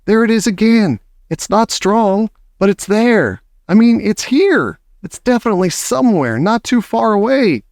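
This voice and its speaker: A man reading an audiobook, voicing a shrill, frantic female witch raising the alarm. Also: lively intonation, unhurried, hardly any pauses, darkish tone, dry recording, studio quality. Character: shrieking excitable tall female witch. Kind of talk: audiobook character voice.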